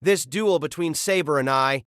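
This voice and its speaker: A man's voice: on the high side, normal speed, a bit bright, no echo, clean studio sound, natural voice. Chivalrous spearman for an audio drama, voiced by a man, formal and proud, slightly raised voice. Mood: earnest plea. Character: noble, chivalrous male knight spearman.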